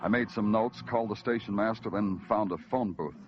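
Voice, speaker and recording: An actor playing a tough police detective who narrates in a terse, hard-boiled way with dry wit, 1940s radio acting on a lo-fi old recording with music. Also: male voice, lowish voice, brisk, natural voice.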